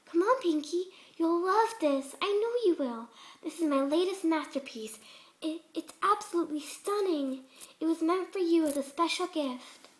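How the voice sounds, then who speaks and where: A woman voicing a sweetly menacing female pegasus pony in a story reading, gentle and eagerly coaxing. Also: very high voice, animated, normal speed, pitched above the performer's own voice.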